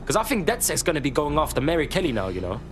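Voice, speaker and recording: An actor playing a brash, friendly young hacker with a London accent and slangy, sweary talk in a video game. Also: male voice, fairly high pitch, very animated, rapid-fire, a bit bright, faint music behind. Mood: brash, matey. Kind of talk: dramatic game cutscene acting.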